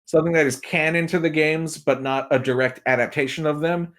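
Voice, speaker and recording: A man's voice: a little high, steady tone, a little fast, a bit bright. A man chatting casually and giving emphatic opinions as a podcast guest, repeating points for effect. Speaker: podcast guest, film and game pundit.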